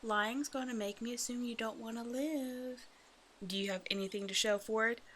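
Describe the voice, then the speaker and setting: A woman's voice: fairly high pitch, steady tone, normal speed, fairly bright tone, slight background noise, lightly acted. A woman voicing a hungry half-snake naga in an ASMR roleplay, teasing and condescending, with mock-sweet menace. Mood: smug, condescending. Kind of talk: ASMR roleplay audio drama, addressing the listener.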